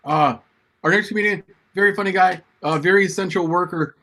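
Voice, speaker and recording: A man's voice: high voice, fairly quick, bright, forceful tone, a little hiss or hum. A man hosting a comedy showcase, whooping and hyping up the next act. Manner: hyped, whooping emcee. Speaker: show host. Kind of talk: emcee patter.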